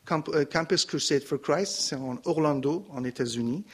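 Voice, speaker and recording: A middle-aged man with a Persian accent speaks into a handheld microphone at a church gathering. His voice sits a little high for a man. He testifies haltingly, restarting phrases as he searches for French words. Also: husky. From Iran. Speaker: missionary giving testimony. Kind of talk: personal testimony to a congregation.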